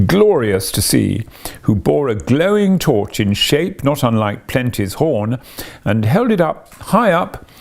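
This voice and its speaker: Male audiobook narrator for literary fiction: dramatic, deliberate delivery, creaky mid-pitched voice. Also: darkish tone.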